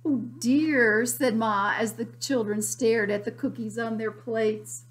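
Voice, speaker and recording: A woman reading a picture book aloud as a preschool teacher, expressive and deliberate for young listeners. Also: on the high side. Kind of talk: slow, expressive children's story reading.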